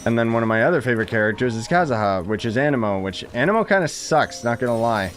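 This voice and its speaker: A man's voice: mid-range voice, expressive, fast, soft background music. A man giving casual, slangy live commentary as he plays a game and chats with viewers. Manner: informal, slangy, exclaims and chuckles while playing. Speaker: gaming streamer.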